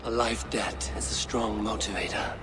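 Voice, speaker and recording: An actor playing a stern, stoic Viking warrior in a video game, speaking in a grave and measured way. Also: male voice, on the low side, fairly even intonation, very noisy, natural voice. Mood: grim. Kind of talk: dramatic video game acting. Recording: music behind the voice.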